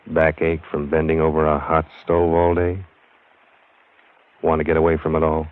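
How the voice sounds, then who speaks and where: A man's voice: a bass voice, completely flat delivery, moderate pace. A man announcing a radio show with ominous, scripted 1940s-style narration. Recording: dull lo-fi vintage radio recording.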